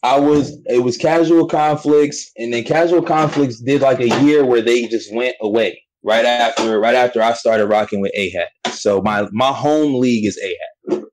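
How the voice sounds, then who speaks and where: A young man, a battle rapper, talking about his start in a relaxed, slangy way, often saying "you know what I'm saying". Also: medium pitch, moderate pace, slight background noise, poor audio. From United States. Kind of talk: casual interview chat.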